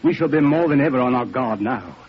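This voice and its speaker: An actor playing a polite, deferential private detective in a 1940s radio drama, with an earnest delivery. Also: male voice, medium pitch, expressive, fairly quick, husky. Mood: earnest, confident. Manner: courteous, earnest. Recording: muffled, hissy 1940s radio recording.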